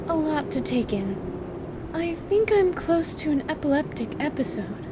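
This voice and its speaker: A woman playing a composed, scholarly magic teacher, patient and reserved, with formal, measured wording in a fantasy audio drama. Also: high voice, noisy background, pitched above the performer's own voice. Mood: strained, cautious.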